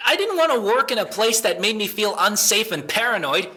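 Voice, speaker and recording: Man playing young male suspect, audio drama, slightly high pitch, piercingly tense tone, defensive, stammering, exasperated, desperate. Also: sing-song, brisk, pushed and bright, heavily acted. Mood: shaken, insistent.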